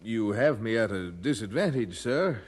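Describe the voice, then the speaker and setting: An actor playing an earnest English preacher in a radio drama, speaking formally and with measured courtesy. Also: male voice, lowish voice, animated, breathy edge. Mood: courteous. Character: earnest, grave English preacher, travelling chaplain, man.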